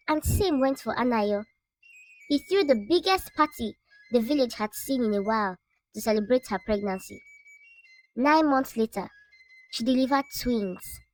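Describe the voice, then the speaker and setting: A woman narrating a scripted folktale as a storyteller, in a measured, dramatic way. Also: high-pitched, poor audio.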